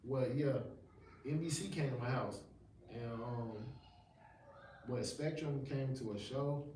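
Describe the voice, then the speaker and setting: A man's voice: mid-range voice, slow pace, dark tone. A man in his thirties, a gospel rapper talking casually in a livestream interview, rambling with slang and many restarts. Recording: echoey room, distant mic, faint noise.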